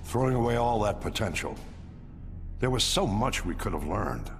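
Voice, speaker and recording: An actor playing a cold, ruthless middle-aged corporate villain in a game cutscene, measured, condescending and menacing. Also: male voice, on the low side, lively intonation, faint music behind. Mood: cold contempt. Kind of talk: cinematic game cutscene acting.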